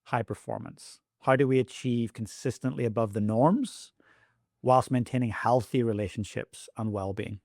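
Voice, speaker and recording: An Irish man, a high-performance coach, answering interview questions with steady storytelling explanations. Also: moderate pace, gravelly, no echo, clean studio sound. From Ireland. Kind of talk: interview guest.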